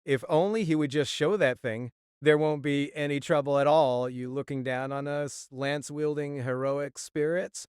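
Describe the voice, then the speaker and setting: An actor playing a confident, earnest lance-wielding knight in an audio drama, with a formal, assured manner. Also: male voice, on the high side, steady tone, moderate pace, darkish tone, clear, resonant tone, no echo, studio quality, close to an everyday voice. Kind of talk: dramatised audio fiction acting.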